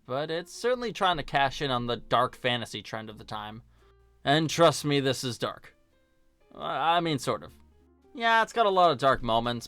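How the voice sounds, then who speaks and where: A man hosting a film review video, narrating a scripted essay in a conversational way with wry, deadpan asides. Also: medium pitch, smooth, no echo.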